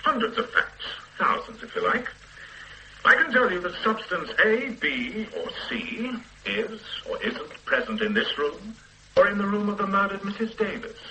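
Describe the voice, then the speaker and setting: A self-satisfied forensic expert in a vintage radio play, talking down to the detectives. His voice is very bright and high for a man, swooping up and down as he explains. He sounds pedantic and pleased with himself. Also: sing-song, moderate pace, slight background noise, heavily acted, poor audio. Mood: lecturing. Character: pompous middle-aged male forensic scientist. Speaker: forensic scientist. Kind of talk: 1970s radio drama acting.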